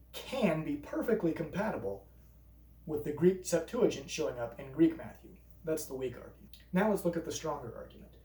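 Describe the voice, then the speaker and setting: A man who preaches, presenting a scripted explanatory video essay and reasoning step by step through objections in a measured way. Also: fairly high pitch, warm tone, far from the mic. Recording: roomy echo, voice a bit off-mic.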